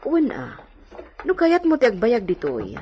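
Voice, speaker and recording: A woman's voice: on the high side, wildly swooping pitch, unhurried, mellow, lo-fi sound, a little hiss or hum. A woman playing a respectful but gossipy household cook in a radio drama. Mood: confiding. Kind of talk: Ilocano radio drama acting.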